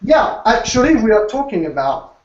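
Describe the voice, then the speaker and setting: A man with an Alsatian French accent co-hosting a live video panel in halting English. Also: high-pitched, very animated, slight background noise. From Alsace, France. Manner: halting, hesitant English with many pauses. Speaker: co-host. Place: room in a restaurant venue in Strasbourg. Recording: echoey, distant, dull sound.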